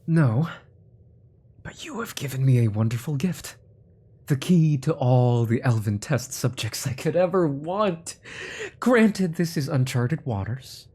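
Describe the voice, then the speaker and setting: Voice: mid-range voice, lively intonation, measured pace, dark tone, slightly rough. An actor playing a menacing, centuries-old sorcerer-scientist in a fantasy audio drama, with a smug, theatrical delivery that slips into whispers. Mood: gloating, patient.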